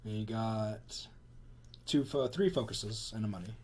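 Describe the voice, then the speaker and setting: A man with a gravelly voice playing a tabletop card game, calmly and dryly announcing his moves. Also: fairly low pitch, measured pace, slight background noise. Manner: calm, dry, announces plays methodically. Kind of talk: casual banter during tabletop card game.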